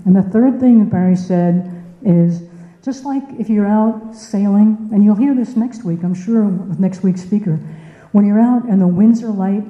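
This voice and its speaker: A woman giving an informal speech to a club in a meeting room, conversational, putting questions to listeners and repeating words for emphasis, with echoey, muffled sound. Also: mid-range voice. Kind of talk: informal presentation to a club audience.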